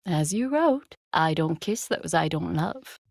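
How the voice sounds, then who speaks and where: A woman playing an ancient, proud vampire commander in an audio drama, measured, haughty and coolly mocking. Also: on the low side, animated, vocal fry, darkish tone, no echo, studio quality, full character voice. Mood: teasing.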